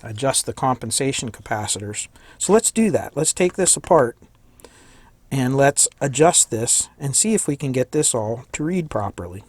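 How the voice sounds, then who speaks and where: A man with a slightly hoarse voice giving a casual hands-on electronics tutorial, thinking aloud and correcting himself as he works. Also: mid-range voice, lively intonation, moderate pace, a little mellow. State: slightly hoarse, losing his voice. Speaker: electronics hobbyist demonstrating a kit.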